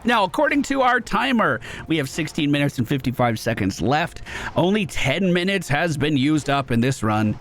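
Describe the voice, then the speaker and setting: A man's voice: on the high side, very animated, moderate pace, fairly bright tone, a little hiss or hum. A man hosting a gaming video, giving upbeat running commentary on his own play. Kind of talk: gameplay commentary. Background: video game music.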